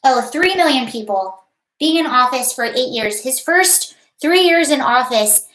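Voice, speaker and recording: A woman with a high-pitched voice hosting a casual livestream from home, chatting in a rambling way and greeting viewers by name. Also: animated, a little slow, fairly bright tone, roomy sound, low-quality recording. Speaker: livestream host.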